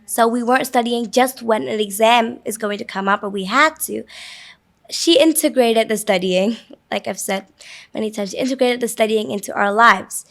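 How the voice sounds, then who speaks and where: A young female student with a fairly high voice answers an interviewer's questions. She speaks English fluently and thoughtfully, with Vietnamese as her first language. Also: fairly bright tone. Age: teens.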